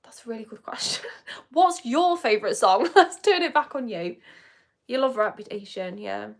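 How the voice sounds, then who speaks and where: A woman's voice: a little high, animated, moderate pace, vocal fry. A young woman hosting a livestream, chatting casually with viewers in a rambling, giggly way. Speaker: beauty livestream host. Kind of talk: casual chat with live viewers. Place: home, indoors. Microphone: phone or webcam.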